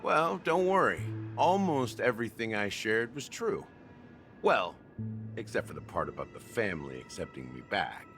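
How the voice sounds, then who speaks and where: An actor playing a wry, mysterious middle-aged mastermind in a video game, speaking like a deliberate, teasing storyteller. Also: male voice, lowish voice, big pitch swings, over music. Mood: sly, assured. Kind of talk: dramatic video game voice acting.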